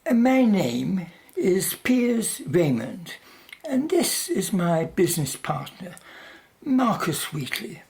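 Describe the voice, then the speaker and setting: A woman's voice: lowish voice, sing-song, slow pace, a little mellow, heavily acted. A woman playing a suave, calculating male company owner in a radio play, polite and formally persuasive. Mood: polite, insistent. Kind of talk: radio drama acting.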